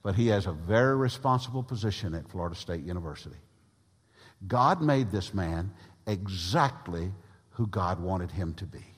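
A man preaching a sermon to his congregation, with a big voice that swings between loud and soft emphasis. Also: low voice, lively intonation, normal speed, slightly rough. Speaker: pastor. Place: church sanctuary. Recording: long reverb of a large church hall.

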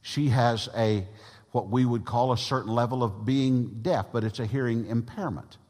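A man preaching a sermon to his congregation, with a big voice that swings between loud and soft emphasis. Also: mid-range voice, moderate pace. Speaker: pastor. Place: church sanctuary. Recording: long reverb of a large church hall.

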